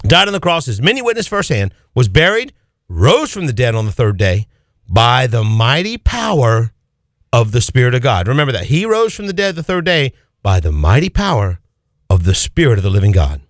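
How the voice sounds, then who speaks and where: Animated preaching man, dry close sound. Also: mid-range voice, moderate pace, no echo. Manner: preaching with animated, swinging emphasis. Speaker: pastor. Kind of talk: sermon.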